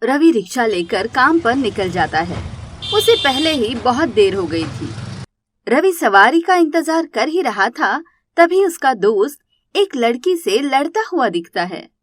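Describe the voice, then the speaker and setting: A woman's voice: sits high, very animated, unhurried. A woman narrating a scripted story.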